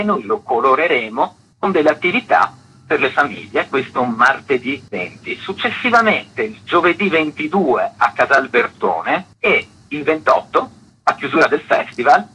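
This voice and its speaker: A man gives a detailed, articulate explanation as a radio interview guest. His voice is strikingly raspy, with lively rises and falls in pitch and a bright, forceful edge. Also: poor audio. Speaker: festival artistic director, interview guest.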